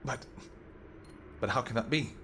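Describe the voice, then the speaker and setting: A man playing a tender, protective vampire king in a roleplay audio drama, speaking formally and earnestly, with halting phrases. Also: mid-range voice, bright, forceful tone, slight background noise. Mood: gentle, puzzled.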